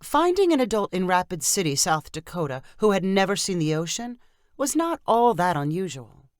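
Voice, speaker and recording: A woman's voice: lowish voice, very animated, normal speed, dry recording, studio quality. A woman reading a scripted short story as its narrator, for people learning English.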